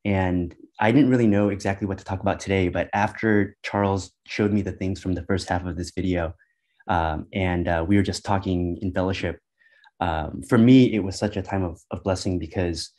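A man's voice: deep voice, steady tone, moderate pace, a little mellow. A congested man with a cold speaking hesitantly over a video call, with many ums and restarts. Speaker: guest Bible teacher. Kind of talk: casual testimony.

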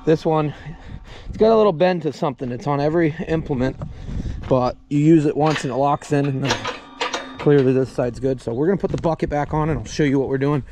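Farm vlogger, man in his thirties, slightly high voice, rambling, easygoing, loud engine rumble behind. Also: a little fast. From New York, USA. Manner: rambling, easygoing, thinking aloud. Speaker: farmer vlogger. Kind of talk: casual narration while working. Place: tractor cab outdoors on a farm. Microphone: action camera mounted in the cab. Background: loud tractor engine.

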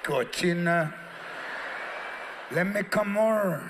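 A man putting on a drunk late-night caller who pleads in a low, slurred voice. The voice drops well below his own. He begs and wheedles without pause, with live audience noise heard clearly behind him. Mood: wheedling.

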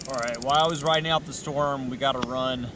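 A man in his thirties narrates his fishing trip in a plain, matter-of-fact way, speaking to an action camera strapped to him. He stands outdoors on a riverbank below a dam, and wind and rushing water stay clearly audible behind his voice. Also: medium pitch, steady tone. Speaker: fishing vlogger. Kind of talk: casual outdoor vlog narration. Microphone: action camera, chest or head mounted.

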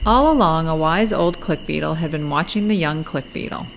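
A woman reading a storybook aloud to young children in an expressive, deliberate way. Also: low-pitched, slight background noise, low-quality recording. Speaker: storybook reader. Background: music and sound effects.

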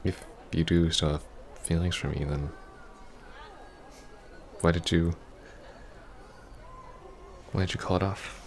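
A man playing a heartbroken, earnest ex-boyfriend in an intimate ASMR roleplay, speaking haltingly and sometimes dropping to a near whisper. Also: low-pitched, fairly even intonation, slight background noise. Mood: hurt, unsure. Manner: halting, hesitant, breathy half-whispers. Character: heartbroken, earnest young ex-boyfriend pleading for closure.

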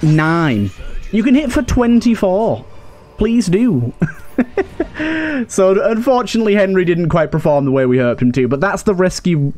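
A man's voice: fairly high pitch, a little mellow. A man giving casual let's play commentary, thinking aloud and chatting to his game characters. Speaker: gaming video host. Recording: game music behind voice. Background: game music.

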